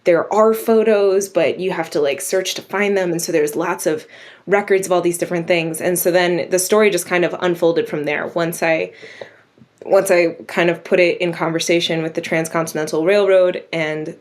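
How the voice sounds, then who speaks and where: A woman's voice: lowish voice, steady tone, moderate pace. A woman being interviewed, telling stories casually and enthusiastically, often dropping in "like" as she talks. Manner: enthusiastic, chatty, frequent "like". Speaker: radio drama writer, interview guest.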